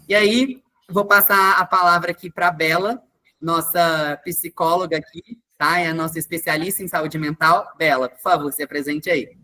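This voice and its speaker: A man's voice: on the high side, sharp tone. A young man hosting an online webinar, chatty, with frequent fillers and tag questions. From Minas Gerais, Brazil. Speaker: webinar host, experience coordinator. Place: online video call.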